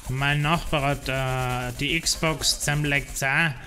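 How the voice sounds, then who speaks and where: Man, South Tyrolean accent, bright forceful voice, rambling gamer chat, faint game music behind. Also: medium pitch, fairly even intonation, slow. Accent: South Tyrolean German. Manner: rambling, complaining, many fillers. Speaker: streamer. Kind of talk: casual gameplay livestream chat.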